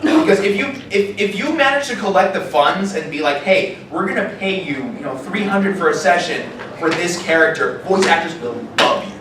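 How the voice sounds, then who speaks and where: Male panelist, slightly high voice, informal and animated, recorded far off in a very reverberant room. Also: normal speed, few pauses. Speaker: voice actor on a convention panel. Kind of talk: casual panel Q&A. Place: large indoor convention panel room. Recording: very echoey, distant, noisy convention room.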